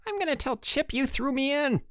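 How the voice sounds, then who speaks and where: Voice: wildly swooping pitch, normal speed, no echo, studio quality. A narrator voicing a whiny, hot-tempered tattletale boy at summer camp in a story reading, indignant and screechy. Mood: spluttering, whiny. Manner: shrill, screaming, indignant.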